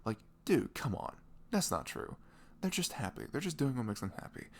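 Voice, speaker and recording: A young man playing a sweet, caring boyfriend in an intimate ASMR roleplay, rambling casually in slangy, animated speech. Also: medium pitch, normal speed. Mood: easygoing. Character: sweet, caring, chatty boyfriend on a morning after. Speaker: audio roleplay voice actor.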